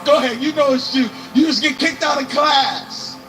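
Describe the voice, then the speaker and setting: A man giving a rousing, shouted motivational speech to students, repeating lines for emphasis. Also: extremely high pitch, moderate pace. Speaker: motivational speaker. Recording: speech over loud background music.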